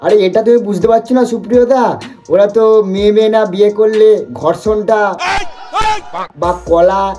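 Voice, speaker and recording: A person hosting a comic roast in a mocking, exaggerated, excitable way. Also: mid-range voice, normal speed, a crowd in the background. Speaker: prank video host and roaster. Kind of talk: teasing comic roast commentary. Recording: music behind the voice. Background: music.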